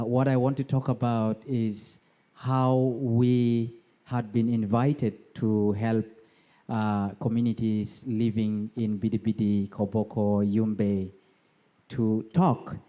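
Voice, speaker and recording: A man from South Sudan with an East African accent, giving a presentation as a conference speaker in a halting, explanatory way with frequent pauses. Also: medium pitch, warm tone. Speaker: conference speaker, NGO co-founder. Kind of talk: prepared presentation.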